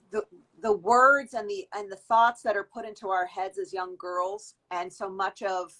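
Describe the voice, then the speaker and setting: A woman's voice: medium pitch, pushed and bright, rough recording. A woman in her fifties, a guest in an interview, giving long reflective answers with frequent restarts. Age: 50s. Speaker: guest, beauty industry worker.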